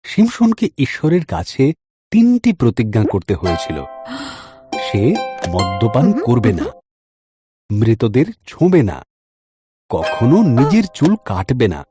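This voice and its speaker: A man's voice: medium pitch, wildly swooping pitch, slow, long pauses. A man narrating a scripted story in a dramatic storytelling style. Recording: music under the voice. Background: background music.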